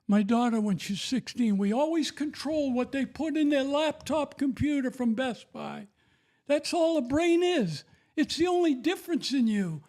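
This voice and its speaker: A man's voice: very high-pitched, darkish tone. An older man giving an emphatic, repetitive, preachy testimony about faith and raising children.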